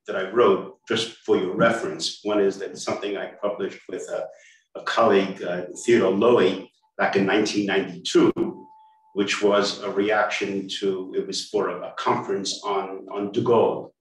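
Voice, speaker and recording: An older man with an American accent, a native English speaker, giving a slow academic talk and often restarting his words. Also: lowish voice, fairly even intonation. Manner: slow, hesitant, repeats words. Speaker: panel speaker. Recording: echoey room, far from mic.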